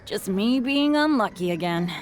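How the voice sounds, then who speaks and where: A woman's voice: medium pitch, animated, normal speed, close to an everyday voice. A woman voicing an earnest, unlucky teenage boy adventurer in an anime game, boyish and self-deprecating. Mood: sheepish.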